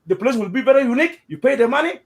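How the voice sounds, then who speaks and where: Animated South Sudanese man, high lively voice. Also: racing. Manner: animated, repeats words, mixes in Arabic phrases. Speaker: interviewee. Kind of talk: casual spoken commentary.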